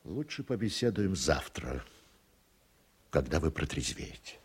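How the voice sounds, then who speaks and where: A deep, stern, dignified official. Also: male voice, very animated, husky, exaggerated voice. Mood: stern, offended. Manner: stern, formal, measured. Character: dignified, firm male official refusing to be intimidated. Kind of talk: Russian radio play acting.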